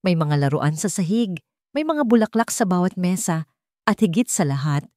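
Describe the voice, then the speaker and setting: A woman's voice: fairly low pitch, expressive, moderate pace, mellow, no echo. A woman narrator reading a scripted, dramatic love story.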